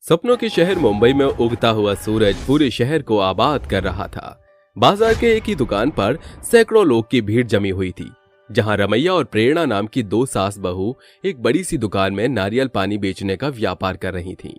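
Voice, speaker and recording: Male narrator for dramatic scripted tales, very lively intonation, music bed. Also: lowish voice, normal speed. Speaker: story narrator.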